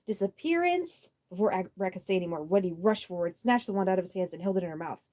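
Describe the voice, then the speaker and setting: A woman reading a story aloud as a dramatic narrator, expressive, occasionally stumbling over a phrase. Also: on the low side, racing. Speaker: audiobook narrator.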